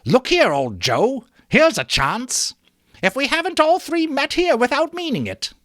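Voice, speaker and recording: A man's voice: very high voice, very animated, unhurried, no echo, clean studio sound, pitched above the performer's own voice, full character voice. A man voicing a bold, defiant charwoman and thief in a storybook reading, with a brash, challenging manner. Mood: brazen. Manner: brash, loud, challenging exclamations. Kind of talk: dramatic audiobook character voice.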